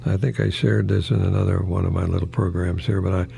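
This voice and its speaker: An older American man, a retired professor, giving a folksy, rambling radio monologue in a gravelly, husky voice with pauses mid-phrase. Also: sits low, flat delivery, mellow, a little hiss or hum. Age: older, retired, 60s or above. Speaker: retired English professor hosting a radio program.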